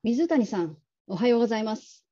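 A considerate female manager played by an actress with an extremely soft, dark tone. She speaks in a gentle, measured, courteous way, as in a radio drama. The sound is slightly muffled. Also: fairly high pitch, lively intonation. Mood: friendly, courteous. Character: polite, caring, discreet female call-centre manager.

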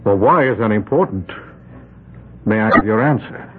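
An actor playing a courteous, hesitant lawyer stranded on an island, who also narrates in first person in a 1970s radio drama. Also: male voice, lowish voice, lively intonation, normal speed, a little hiss or hum, lightly acted. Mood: puzzled. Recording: tinny old radio broadcast with music.

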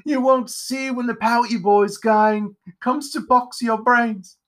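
A narrator voicing a witchy, cruel mother in a storybook tale, taunting her son in a high-pitched, sing-song menace. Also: steady tone, takes it slowly, lightly acted. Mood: mocking menace.

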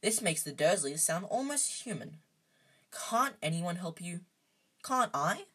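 A woman reads as an awkward young boy wizard from a storybook. The voice is slightly husky, hesitant and polite, kindly but nervous. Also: on the low side, sing-song, unhurried, pushed and bright, exaggerated voice. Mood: curious, concerned. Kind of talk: storybook character voice.

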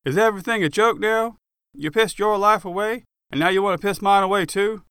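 A man's voice: high voice, fairly quick, fairly bright tone, clean tone, dry recording, clean studio sound, voice pushed up. A man voicing a furious, exasperated cop who confronts his friend in emphatic, demanding outbursts for an audiobook.

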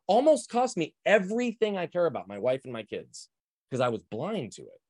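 A man speaking fast and loud, full of energy, as he explains ideas as a podcast guest. His voice sounds close and dry, with no room around it. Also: a little high, huge pitch swings, no echo. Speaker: guest, performance coach. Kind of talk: podcast interview, emphatic explaining.

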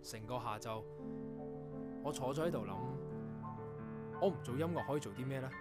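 A man acting in a Cantonese radio drama as an earnest, proud young composer, telling things in a reflective, measured way. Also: medium pitch, loud music behind the voice, lightly acted. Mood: reflective, resolute. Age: young adult.

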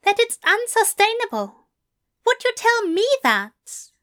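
A woman voicing a fussy, gentle male angel who speaks earnestly and fretfully. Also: very high-pitched, big pitch swings, takes it slowly, voice pushed up, full character voice. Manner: bright, fluttery, earnest.

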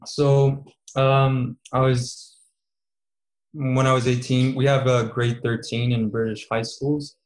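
A man's voice: medium pitch, little pitch movement, slow, poor audio. A man in his twenties, a student guest in a casual interview, talking with many fillers and easy laughs. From Serbia, raised in Qatar. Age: 20s.

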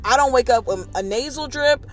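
A young woman talks straight to her viewers in an animated, giggly way from inside a car. She keeps the chat light and jokey. Faint music plays in the background. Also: high voice, a little fast. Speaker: vlog co-host. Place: parked car in a drive-thru line.

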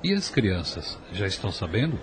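A very deep-voiced older Brazilian father played by an actor in a radio soap. He is calm, devout and protective, with clear noise behind him. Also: moderate pace. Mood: concerned.

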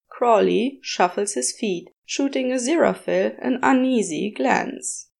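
A woman's voice: mid-range voice, creaky, darkish tone. A woman reading a story aloud as scripted audiobook-style narration. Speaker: podfic narrator. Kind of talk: scripted narration of fan fiction.

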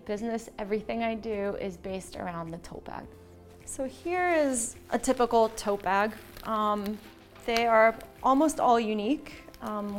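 An American woman speaking casually and enthusiastically in an interview as a small business owner. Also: fairly high pitch. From born in Slovakia, moved to the United States at seven. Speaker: small business owner, textile designer and shopkeeper.

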